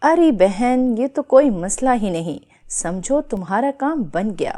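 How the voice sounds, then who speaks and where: Mellow, higher-voiced advising woman friend acted out. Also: animated. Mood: reassuring, helpful. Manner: reassuring, instructive. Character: calm, advising older-sister neighbour, a sensible mother. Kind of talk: acted dialogue in a children's moral story.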